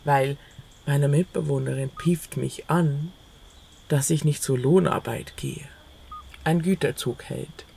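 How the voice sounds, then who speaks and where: Creaky-voiced narrator of an audio play. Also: medium pitch, takes it slowly, warm tone, slight background noise. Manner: quotes dialogue within the narration. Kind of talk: first-person present-tense literary narration.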